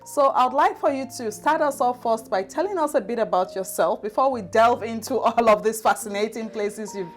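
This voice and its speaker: A woman hosting an interview show, introducing her guest in a measured, formal way and asking questions in a studio. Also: fairly high pitch, soft background music. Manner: measured, formal introduction with slow emphatic phrases. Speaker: podcast host.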